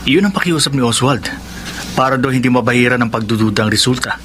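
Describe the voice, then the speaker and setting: A man's voice: medium pitch, fast talker, fairly bright tone, a little hiss or hum, natural voice. A man with a Filipino accent playing a young, probing, matter-of-fact confidant and go-between in a radio drama. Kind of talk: Tagalog radio drama acting.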